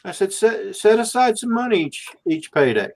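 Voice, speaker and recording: Higher-pitched man in his sixties, chatting casually. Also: big pitch swings, moderate pace, gravelly. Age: 60s. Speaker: host of a group livestream. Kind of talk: casual group chat.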